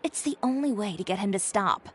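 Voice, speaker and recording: An actress playing a composed, proud dressmaker who talks calmly with dry, cutting sarcasm. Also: female voice, medium pitch, brisk, husky.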